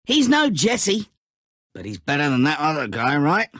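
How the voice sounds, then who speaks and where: A man's voice: a little high, wildly swooping pitch, moderate pace, a bit bright, no room sound, clean studio sound, full character voice. An actor playing a giddy, taunting, all-powerful snowman trickster in a video game, with a gleeful, mocking, hammy delivery. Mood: smug, encouraging.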